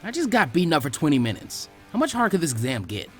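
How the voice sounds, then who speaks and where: A man puts on a cheeky teenage ninja boy for a story reading. He sounds wry and casual, with animated pitch and faint music behind. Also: quick pace. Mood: weary, wry.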